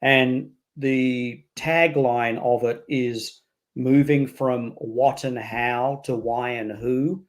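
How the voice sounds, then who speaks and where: A man's voice: mid-range voice, fairly even intonation, very slow. A middle-aged man with an Australian accent, answering interview questions as an expert guest in a thoughtful, explanatory way.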